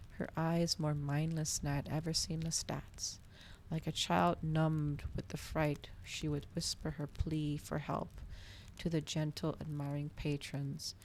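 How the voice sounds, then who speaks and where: A soft-spoken woman reading a novel aloud in an ASMR style, at times close to a whisper. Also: low-pitched, gravelly, a little hiss or hum.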